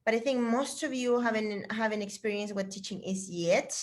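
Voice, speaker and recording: A woman's voice: mid-range voice, moderate pace. A middle-aged woman from Chile, a fitness trainer, chatting casually and encouragingly to her trainees on a video call. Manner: chatty and encouraging, with fillers and restarts.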